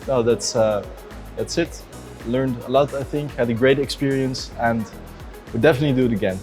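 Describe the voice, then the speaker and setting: A man's voice: mid-range voice, normal speed, darkish tone, faint music behind. A nineteen-year-old man giving a casual testimonial as a project participant, hesitant and searching for words. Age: young adult.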